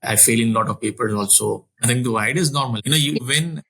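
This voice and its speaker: A man in his forties recounts his career as an interview guest, speaking earnestly at a middle pitch. He often restarts and repeats words as he gathers his thoughts. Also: fast talker, vocal fry, sharp tone, slightly rough. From Saudi Arabia. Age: 40s. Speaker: finance executive guest. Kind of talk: conversational interview storytelling.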